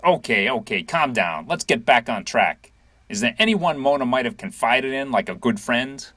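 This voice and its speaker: Guy acting a cop sergeant in an audio play, official and pushy, lively husky voice. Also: mid-range voice, moderate pace, dry recording. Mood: placating. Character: brisk male police sergeant questioning witnesses.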